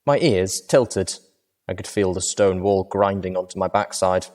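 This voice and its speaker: A man narrating a fan fiction story in first person at a measured, steady pace. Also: low voice. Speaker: audiobook reader.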